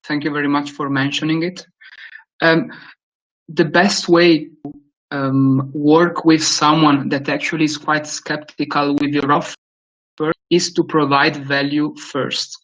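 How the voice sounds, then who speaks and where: A man from Italy with a strong Italian accent, speaking English as a guest speaker in a webinar Q&A, with frequent pauses and restarts. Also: a little high, flat delivery, a bit bright, low-quality recording. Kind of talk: webinar Q&A answer.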